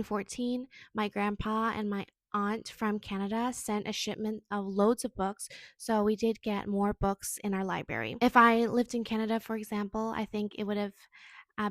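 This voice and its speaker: Young woman raised in Indonesia, chatty reflective vlogger, mid-pitched, dry close sound. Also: no echo. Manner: chatty, reflective, frequent "like" fillers. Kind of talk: casual talking-head vlog.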